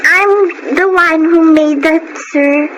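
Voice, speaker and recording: A woman's voice: very high voice, fairly even intonation, slow pace, a bit bright, a little hiss or hum, full character voice, rough recording. A woman playing a polite, hardworking thirteen-year-old girl in a Tagalog radio drama, earnest and devoted. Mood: hopeful, eager. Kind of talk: Tagalog radio drama acting with first-person narration. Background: music.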